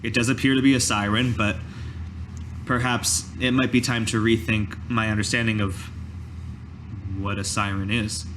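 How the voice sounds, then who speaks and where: Man playing a formal, deliberate village mage. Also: on the low side, little pitch movement, background noise, close to an everyday voice. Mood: thoughtful. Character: male village master mage, formal, measured, grave mentor.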